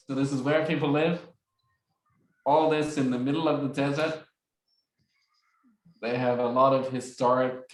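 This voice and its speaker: A man presenting slides as a guest speaker on a video call, repeating phrases like a patient teacher. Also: medium pitch. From Australia. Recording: roomy video call audio.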